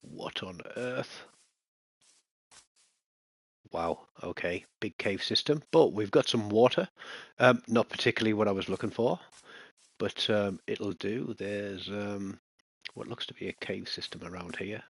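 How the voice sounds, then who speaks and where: Male gamer, very deep voice, close and dry, loose muttering commentary with sudden alarm. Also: measured pace, creaky, long pauses, slightly rough, no echo. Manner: chatty, muttering to himself, bursts of alarm at mobs. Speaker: gaming channel host. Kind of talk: casual let's-play commentary.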